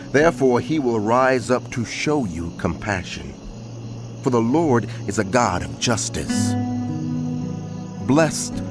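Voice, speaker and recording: An actor playing a prophet who narrates dramatized scripture in a measured, earnest, proclaiming way. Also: male voice, medium pitch. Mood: tender, earnest. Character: prophet narrating, earnest male herald of God.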